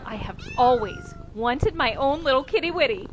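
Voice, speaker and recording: High-pitched, bubbly space crewmate. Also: female voice, sing-song, moderate pace, lo-fi sound. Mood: gleeful. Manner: gushing, exuberant. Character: bubbly, childlike, overexcited female space crew member. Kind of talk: sci-fi comedy radio drama acting. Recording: clear background noise.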